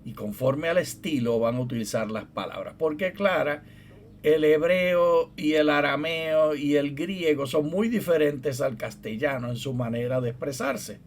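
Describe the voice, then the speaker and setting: A man's voice: on the high side, a little slow, a little hiss or hum. A middle-aged man co-hosting a Bible study program, explaining things patiently and steering the talk back to the topic. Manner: explanatory, teasing, steers the conversation back on track. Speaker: co-host, theologian and professor.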